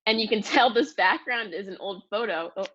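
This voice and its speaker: A woman teacher giving an expressive read-aloud lesson to young children, explaining and asking questions as she goes. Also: medium pitch, brisk, breathy edge, highs cut off.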